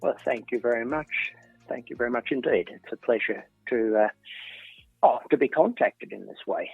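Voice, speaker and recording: An Australian man in his fifties answers interview questions at an easy, thoughtful pace, often pausing on ums and restarting his sentences. His voice sits in a middle register and comes through a thin, phone-like line with a dry, close sound. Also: medium pitch, gravelly, breathy edge, no room sound, soft background music. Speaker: interview guest, professor.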